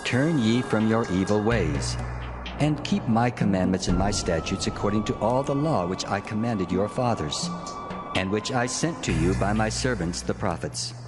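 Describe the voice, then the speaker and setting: Actor as low, commanding voice of God. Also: male voice, expressive, natural voice. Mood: solemn, commanding. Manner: slow, deliberate, authoritative delivery. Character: God of Israel, solemn commanding male divine voice. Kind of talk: dramatized scripture audio. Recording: music under the voice.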